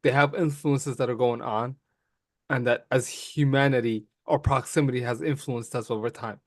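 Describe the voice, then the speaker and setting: A man whose first language is Somali speaks in a measured, calm way during a casual podcast talk. His voice is recorded close and dry, with no room sound. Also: mid-range voice, dry recording.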